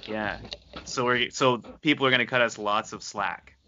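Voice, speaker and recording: A male radio host with a bright, forceful voice who keeps things easygoing and chuckles often. He leads a casual interview. The audio is dull and lo-fi, as from a remote setup. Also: medium pitch, steady tone, normal speed, a little hiss or hum.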